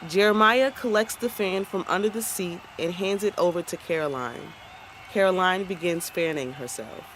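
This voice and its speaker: A woman's voice: on the low side, moderate pace, fairly bright tone, a little hiss or hum, close to an everyday voice, low-quality recording. A woman as a plain, neutral narrator reading scripted stage directions for a radio play.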